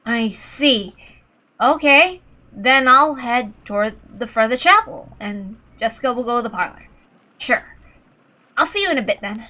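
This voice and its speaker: A woman voicing a polite, earnest young man in a visual novel, measured and deferential in manner. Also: fairly high pitch, lively intonation, pushed and bright, poor audio. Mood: polite, hesitant.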